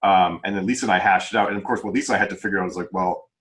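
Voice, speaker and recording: Fast-talking, low-voiced man. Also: fairly even intonation, fairly bright tone. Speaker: publisher and co-author, podcast host. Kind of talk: casual unscripted chat. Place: remote group video call.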